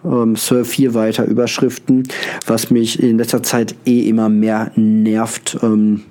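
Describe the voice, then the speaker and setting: A man's voice: fairly low pitch, level tone, unhurried, warm tone. A male podcast host with a cold and an occasional cough, talking casually and hesitantly, with many filler sounds and restarts. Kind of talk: casual solo podcast monologue.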